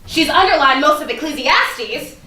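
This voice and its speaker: High, bright, sarcastic young best friend played by a woman. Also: animated, normal speed. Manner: sarcastic, emphatic, needling. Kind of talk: staged play reading. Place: live staged reading in a room. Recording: echoey room, distant mic, faint noise.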